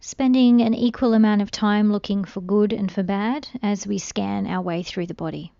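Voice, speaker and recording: A woman guiding a meditation in a gentle, soothing, unhurried way. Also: mid-range voice, fairly even intonation, creaky, no echo, lo-fi sound. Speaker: meditation guide. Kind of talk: guided meditation.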